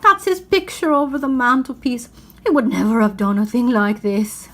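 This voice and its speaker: A woman's voice: on the high side, normal speed, close to an everyday voice. Woman playing a sorrowful, guilt-ridden male faun. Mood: ashamed. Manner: sobbing, self-reproaching lament.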